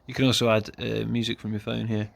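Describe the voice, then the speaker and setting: A man's voice: on the low side, little pitch movement, quick pace, gravelly. A man talking casually and off the cuff as he presents a hands-on DIY demonstration, informal and self-deprecating. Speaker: car hobbyist presenting a DIY video.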